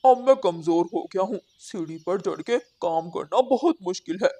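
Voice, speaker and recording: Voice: mid-range voice, lively intonation, normal speed, warm tone. Man acting as male crow, house painter, earnest, courteous, reassuring, children's cartoon dialogue. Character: hardworking polite male crow house painter, henpecked husband. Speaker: cartoon character voice.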